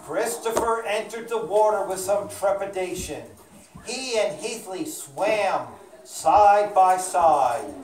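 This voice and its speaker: A woman's voice: medium pitch, expressive, slow. A woman narrating a children's storybook for a live puppet show. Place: indoor church or hall room with puppet stage. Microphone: camera at a distance. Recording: roomy, distant mic, clear background noise.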